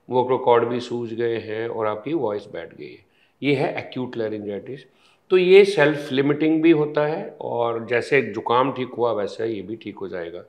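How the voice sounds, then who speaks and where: A man explains a medical topic in a calm, unhurried interview. He talks very slowly, setting out each point patiently with small pauses. The overall effect is of a doctor walking a lay audience through the facts. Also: mid-range voice. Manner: slow, measured, explaining step by step with pauses. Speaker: ENT surgeon guest.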